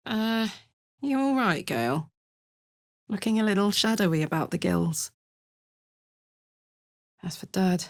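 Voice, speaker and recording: A woman's voice: mid-range voice, no room sound, studio quality. An actress playing a bold, hearty young female soldier in a video game, brash and slangy with a playful streak. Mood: wry, reflective. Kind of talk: expressive video game voice acting.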